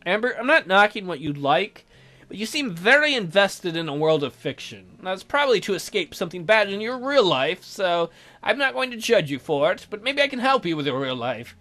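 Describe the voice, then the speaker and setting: A man's voice: high-pitched, sing-song, moderate pace, sharp tone. A man reading a visual novel aloud as a let's player, adding sardonic asides.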